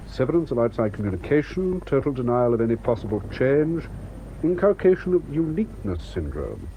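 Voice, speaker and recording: An actor playing a skeptical, businesslike military officer in a radio drama, with a clipped, musing delivery. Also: male voice, medium pitch, warm tone, slight background noise, close to an everyday voice, rough recording.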